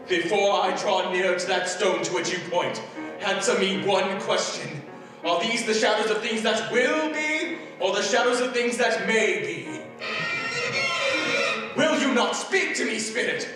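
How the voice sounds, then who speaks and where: An actor playing an old miser who begs a ghost and then rejoices, in a 1940s-style radio drama. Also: male voice, high-pitched. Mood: fearful. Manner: pleading and theatrical. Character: old male miser, remorseful then overjoyed. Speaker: Scrooge. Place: theater stage. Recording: echoey stage from far off.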